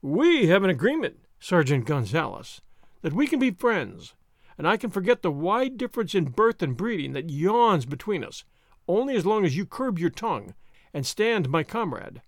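A man's voice: sits high, sing-song, moderate pace, no echo, clean studio sound, exaggerated voice. A man doing a thin-voiced haughty young nobleman. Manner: polite, cool, haughty. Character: languid, proud young nobleman.

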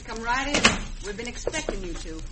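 A woman's voice: fairly high pitch, animated, normal speed, lightly acted. An actress playing a cool, bossy female gang leader in a radio western, curt and commanding, with an American accent. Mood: wary, curt. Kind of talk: 1950s radio western acting. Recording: noisy old radio show recording.

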